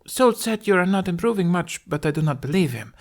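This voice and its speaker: A man's voice: sits high, animated, voice pushed up. A man voicing an eager, chatty young girl in an audiobook, friendly and full of questions. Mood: curious, chatty. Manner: rapid-fire questions.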